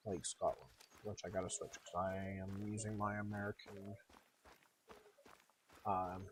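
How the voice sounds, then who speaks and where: A man's voice: low voice, level tone, a little slow, a little hiss or hum. A man giving casual, rambling live commentary as he streams a game.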